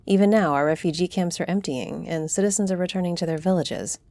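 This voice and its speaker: A woman playing a dignified, gracious noblewoman councillor in an audio roleplay, delivering her words formally and deliberately. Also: on the low side, darkish tone, no echo, lightly acted. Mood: solemn, gracious.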